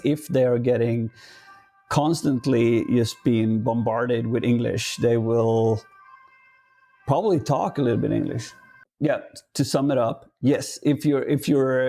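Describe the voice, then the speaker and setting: A man with a Swedish accent and a gravelly, dark, mellow voice, talking loosely in a relaxed podcast chat. He stops and restarts often, hunting for the right English word, and then carries on. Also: medium pitch. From Sweden. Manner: halting, searching for words, many restarts. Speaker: podcast guest. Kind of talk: casual podcast conversation.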